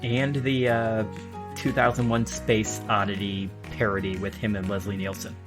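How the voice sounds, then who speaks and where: A man's voice: fairly low pitch, little pitch movement, normal speed. A man casually hosting a podcast discussion and addressing the listeners. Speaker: podcast host. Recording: music playing behind the voice.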